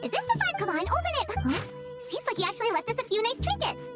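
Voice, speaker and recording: A woman voicing a tiny, cheeky fairy girl companion in a video game, squeaky, childlike and perky. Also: big pitch swings, quick pace, over loud music. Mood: chirpy, surprised. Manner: perky, exclamatory, refers to herself by name.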